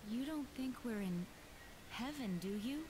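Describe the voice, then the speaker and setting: A woman playing a gentle young girl heroine in a video game. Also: a little high, lively intonation, measured pace, background noise. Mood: gentle, reassuring.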